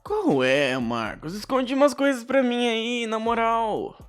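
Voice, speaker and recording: A man acting a loud, mocking teenage school bully who talks in slang in an audio novel. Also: very high-pitched, lively intonation, slow pace, clean tone, heavily acted. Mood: cajoling. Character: Gustavo, loud, taunting teenage boy, animated school bully. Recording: music under the voice.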